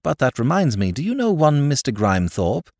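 A man playing a breezy aristocrat, airy and offhand, with a lively lilt in his voice. The recording is dry and close. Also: mid-range voice, brisk, no echo, voice pushed up. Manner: airy, casual. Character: breezy upper-class English male aristocrat.

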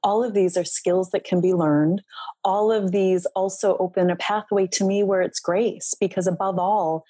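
Soft-spoken earnest woman, dark dry voice. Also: medium pitch, fairly even intonation, moderate pace, breathy edge, dry recording. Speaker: guest, relationship coach. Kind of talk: heartfelt podcast interview.

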